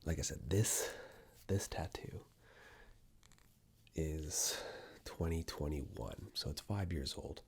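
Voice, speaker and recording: Male ASMR host: low, very husky, hushed and half-whispered, chatting intimately to camera. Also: slow, pauses often. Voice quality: hushed, breathy, often dropping into a whisper. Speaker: ASMR video creator and host. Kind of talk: ASMR, soft-spoken personal ramble to camera.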